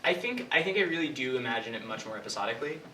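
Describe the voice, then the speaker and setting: A young man answering questions informally as a writer, haltingly thinking aloud and hedging as he goes. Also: medium pitch, animated, sharp tone. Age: young adult. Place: indoor room with an audience. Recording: echoey room from far off, clear background noise.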